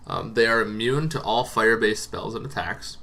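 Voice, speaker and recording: Bright-voiced man explaining casually. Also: fairly low pitch, moderate pace, gravelly. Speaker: host of a tabletop roleplaying monster lore show. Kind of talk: casual unscripted explainer to camera.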